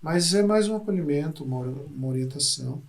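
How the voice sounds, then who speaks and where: A man with a slightly raised pitch and a gravelly, creaky edge. He speaks as a hospital psychologist in a relaxed conversational interview, often repeating words as he gathers his thoughts. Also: fairly quick. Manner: thoughtful, repeats words while searching for them. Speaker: hospital psychologist, podcast guest.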